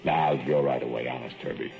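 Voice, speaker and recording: A man's voice: very deep voice, fairly even intonation, moderate pace, gravelly. An actor playing a talkative, teasing small-town man with a folksy rural way of speaking, in an old radio drama. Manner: rural dialect, needling and playful. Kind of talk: 1940s radio acting. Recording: tinny old radio broadcast, faint music.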